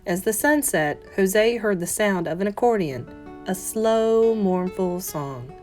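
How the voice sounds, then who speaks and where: A woman, a teacher, reading a children's picture book aloud in an expressive, carefully phrased, dramatic manner. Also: mid-range voice, slow pace. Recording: soft guitar music behind voice. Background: plucked guitar music.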